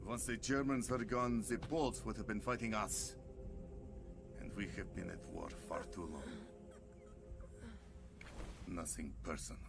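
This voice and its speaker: An actor voicing a cold, scheming male Soviet officer who talks slowly, smugly and cynically. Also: on the low side, creaky, background noise. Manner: slow, smug and coldly cynical. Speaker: game cutscene character.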